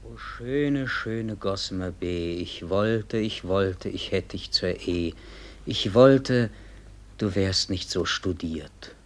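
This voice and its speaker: A lovesick tavern keeper pines in a radio play. His low voice moves slowly, wistful and shy, lingering on each repeated wish. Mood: yearning. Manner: wistful, sighing repetition. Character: lovesick, goat-bearded male tavern keeper, yearning shyly. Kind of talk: literary radio drama.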